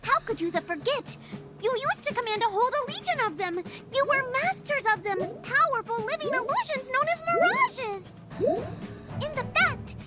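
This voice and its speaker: A tiny, childlike fox spirit guide from a game, extremely high-pitched and animated. The creature is perky and helpful with quirky phrasing, over loud music. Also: female voice, moderate pace. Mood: eager, explaining. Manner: childlike, drops stray "the" into phrases. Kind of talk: animated game cutscene acting.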